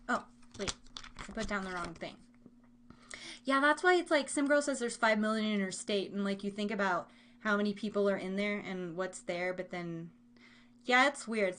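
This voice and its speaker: A woman's voice: medium pitch, normal speed, fairly bright tone. A woman with an American accent hosting a live trivia game in a casual, playful, chatty way. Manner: playful, chatty, giggly asides to listeners. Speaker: live show host running a trivia game. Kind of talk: casual live-stream chat.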